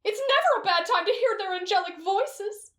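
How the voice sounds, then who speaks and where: A woman voicing an excitable, lovesick teenage boy swordsman who gushes and pleads dramatically. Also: very high voice, moderate pace, reverberant. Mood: gushing.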